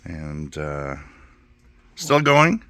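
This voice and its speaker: A man's voice: rumbling low, sing-song, extremely slow, creaky, a bit bright, no room sound. A man hosting a casual video tour, talking off the cuff inside a shop. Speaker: channel host filming a shop tour. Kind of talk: casual vlog narration. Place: inside a small electronics repair shop.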